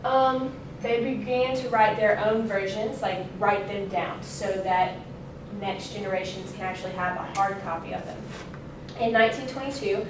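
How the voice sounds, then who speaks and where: A woman's voice: mid-range voice, moderate pace. A young adult woman giving an informal student speech in a classroom, casual and loosely worded, correcting herself. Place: indoor classroom. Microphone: distant camera microphone. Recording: very echoey, noisy room, distant mic.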